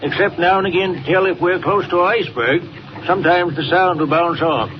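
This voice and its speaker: Man playing a folksy high-voiced old sea captain. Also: a little fast, natural voice. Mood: folksy, wary. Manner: folksy rustic dialect, old-salt talk. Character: folksy old male icebreaker captain, plainspoken and superstitious. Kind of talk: 1940s radio acting. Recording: muffled, very noisy 1940 radio recording.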